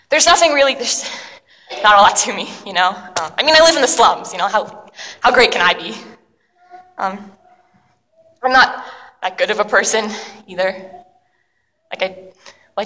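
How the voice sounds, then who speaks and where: A woman performing a dramatic monologue as an excitable, self-doubting young city dweller, telling the story breathlessly with slangy fillers. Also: on the high side. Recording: long reverb of a large hall.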